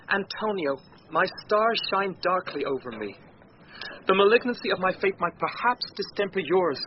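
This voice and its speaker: An actor playing a courteous, grieving young gentleman in a classical Shakespearean radio play, with formal, earnest delivery. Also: sits high, a little hiss or hum, close to an everyday voice. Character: courteous, melancholy young gentleman grieving his drowned sister. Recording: muffled radio drama recording.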